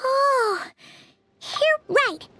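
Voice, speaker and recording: A woman voicing a tiny, perky fairy companion in a video game, with a squeaky childlike voice and chatty, exclamatory delivery. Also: expressive, extremely slow, sharp tone, a little hiss or hum, heavily acted. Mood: curious.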